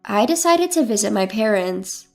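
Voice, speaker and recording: A woman's voice: mid-range voice, lively intonation, normal speed, creaky, darkish tone. A woman reading a scripted first-person dramatic story as its narrator. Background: background music.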